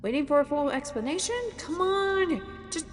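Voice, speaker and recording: A woman voicing a brash, boisterous adventurer who talks gruffly, calls people lass and lad, and breaks into shouts. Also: high voice, expressive, normal speed, in a large hall, faint music behind, voice pushed up.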